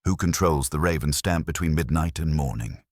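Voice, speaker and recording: Man acting imperious older male detective, audiobook mystery, bottom-register voice, husky, formal, stern, judicial phrasing. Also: very deep voice, no echo, clean studio sound. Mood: coolly probing. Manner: formal, measured, pronouncing judgments.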